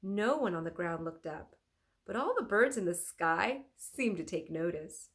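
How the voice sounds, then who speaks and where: A woman reads a children's chapter book aloud like a teacher to a class. Her delivery is unhurried and deliberate, with lively rises and falls in pitch. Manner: deliberate, clearly phrased, animated. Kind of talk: expressive children's storybook read-aloud.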